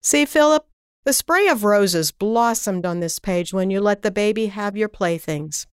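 A woman voicing a kindly but firm fairy in a storybook, patient and measured as she teaches. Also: medium pitch, lively intonation, clear, resonant tone, no echo, clean studio sound, close to an everyday voice. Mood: gentle, encouraging.

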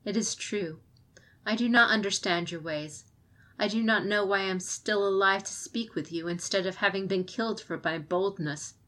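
A woman reading the lines of a hesitant, ashamed female noble in an audiobook, softly and regretfully. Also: medium pitch, moderate pace, close to an everyday voice. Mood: sorrowful.

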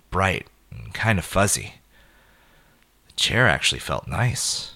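A man narrates a dark short story in a low-pitched voice with a forceful, bright edge. His delivery is deliberate and dramatic, set out in clipped phrases. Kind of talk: scripted dramatic audio fiction narration.

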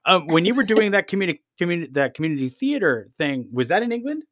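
Casting note: podcast host, mid-pitched voice, dry close sound, halting restarts. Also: very animated, fairly bright tone, no room sound. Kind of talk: casual interview conversation.

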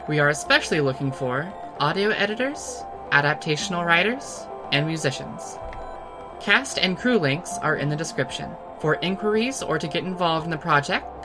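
Low-voiced woman reading credits over music. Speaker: credits announcer and cast member. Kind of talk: scripted credits narration.

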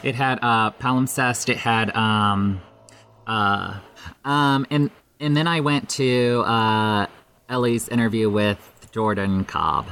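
A podcast guest chatting casually in a halting, hesitant way. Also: mid-range voice, fairly bright tone, a little hiss or hum. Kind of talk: casual chat.

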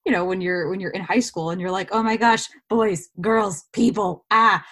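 A woman's voice: mid-range voice, steady tone, normal speed, fairly bright tone. A woman speaking casually as an actor being interviewed, telling stories and voicing her own thoughts as quotes.

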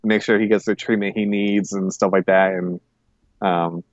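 Low-voiced man in rambling podcast chat. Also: level tone, moderate pace, no echo, muffled recording. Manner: rambling, hesitant, self-correcting. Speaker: podcast co-host. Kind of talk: casual review chat.

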